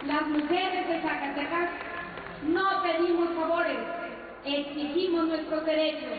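A woman's voice: sits high, fairly even intonation. A middle-aged Mexican woman reading a declamatory campaign speech on an outdoor stage as a political candidate. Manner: declamatory, slow emphatic delivery. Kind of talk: campaign rally speech read from a paper. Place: outdoor stage at a large rally. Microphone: stand mic, recorded from far through PA. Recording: echoey PA sound from far off, tinny, crowd noise.

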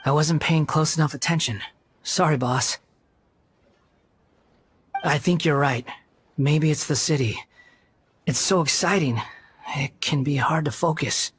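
A man's voice: fairly high pitch, a little slow, pauses often, fairly bright tone, soft background music. An actor voicing a polite, humble young male wanderer in a video game. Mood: apologetic. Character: polite, humble, soft-mannered young wanderer working as helper.

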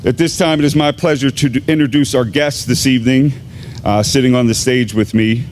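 A man in his fifties, an assistant high school principal, giving a formal, deliberate graduation address at a podium microphone in a large gymnasium. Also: steady tone, darkish tone, slight background noise. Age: 50s. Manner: measured, deliberate announcing with pauses.

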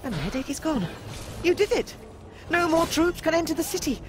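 Game character: an anxious young woman, whiny and urgent, lively pitch. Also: fairly quick, a crowd in the background. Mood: relieved. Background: game music.

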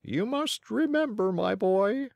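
A narrator voicing a big, helpful talking pigeon in a storybook, with a gentle, matter-of-fact manner. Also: medium pitch, expressive, takes it slowly, creaky, no room sound, pitched above the performer's own voice. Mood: kindly advising.

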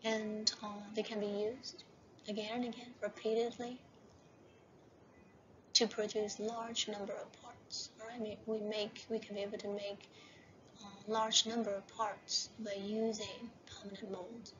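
A woman lecturing over slides for a university class, often checking in with "right?" and slowing down to read slide headings. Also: mid-range voice, level tone, fairly bright tone. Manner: repeats "right?" and "okay?" as checks. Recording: dull lo-fi voice-over with faint hiss.

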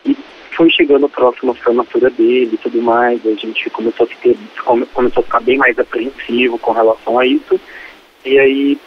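A man's voice: mid-range voice, fairly even intonation, a little fast, a little hiss or hum. A man of twenty-nine, phoning in to a radio show and talking casually. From Ribeirão Preto, Brazil. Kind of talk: casual phone-in storytelling. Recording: muffled, husky phone line, faint music.

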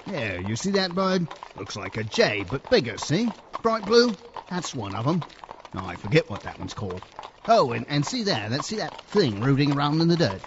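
A man's voice: a little high, very animated, normal speed. A man playing a folksy, garrulous rural cart driver with a working-class English accent in an audio drama. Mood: eager, chatty. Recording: dull, noisy old radio-style recording.

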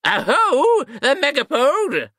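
High, whooping wizard hunter. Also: male voice, huge pitch swings, sharp tone, no room sound, studio quality, heavily acted. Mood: excited. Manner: drawn-out, high whooping cries. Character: excitable male wizard crying a hunting call.

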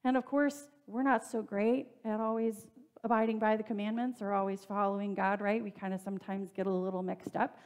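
A woman in her thirties preaches from the lectern of a church sanctuary. Her delivery is easy and conversational, with small asides and fillers as she talks to the congregation. Her voice sits at an ordinary middle pitch for a woman. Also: steady tone, a little fast, darkish tone, clear, resonant tone. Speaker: pastor. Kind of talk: sermon.

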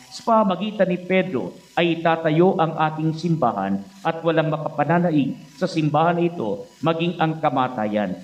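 Higher-pitched Filipino priest, dull muffled sound. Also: male voice. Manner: didactic, asks and answers own questions. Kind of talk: homily, explanatory preaching. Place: indoors at a church altar. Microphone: microphone on stand at lectern, face mask worn.

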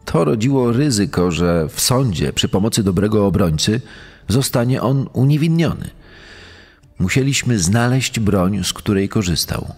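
A man playing a measured, serious police investigator giving testimony in a dramatized documentary. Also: on the low side, animated, a little mellow, breathy edge, in a large hall, faint music behind. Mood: grave. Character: male police investigator recalling a murder case.

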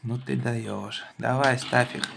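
Gravelly-voiced man chatting playfully with a child. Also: mid-range voice, steady tone, slow. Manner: encouraging, inquisitive, simple child-directed phrasing. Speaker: adult playing with and questioning a child in a toy car show. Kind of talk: casual playful chat with a child. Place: indoors at a wooden table. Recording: noisy home recording with clicking toys.

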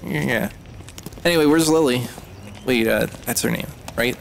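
A man's voice: mid-range voice, unhurried, creaky, a little hiss or hum. A man playing a defensive, wisecracking young dropout in casual audio drama dialogue. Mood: teasing, defensive.

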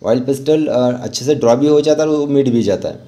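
A man's voice: mid-range voice, a little fast, dark tone, lo-fi sound. A man with an Indian accent giving an informal, encouraging step-by-step drawing tutorial as an art teacher. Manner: instructional, encouraging. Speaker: rangoli art teacher and channel host.

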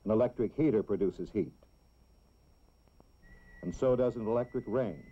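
An American man reads scripted narration in the style of a 1960s educational film, explaining things simply and deliberately for children. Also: on the low side, slightly rough. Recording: dull, lo-fi old film soundtrack.

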